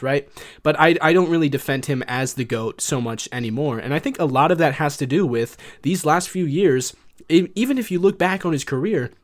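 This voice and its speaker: A man co-hosting a sports podcast, talking casually and making his case conversationally. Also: fairly high pitch.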